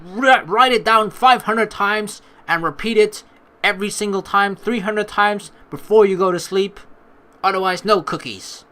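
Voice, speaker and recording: A woman putting on a stern, domineering father who lectures his small daughter in a forceful, commanding way. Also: mid-range voice, a little slow, pitched above the performer's own voice, lightly acted. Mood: stern, scolding.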